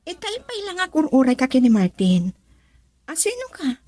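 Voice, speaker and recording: High, husky, outspoken wife. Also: female voice, huge pitch swings, moderate pace, warm tone, no room sound, faint music behind, full character voice. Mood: suspicious. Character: outspoken, emotional wife and daughter. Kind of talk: Ilocano radio drama acting.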